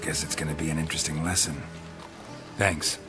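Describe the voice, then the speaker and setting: A man's voice: rumbling low, little pitch movement, a little fast. A man playing a stoic, world-weary monster-hunting swordsman in a video game, speaking in short, dry, clipped sentences. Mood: wry, guarded. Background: loud background music.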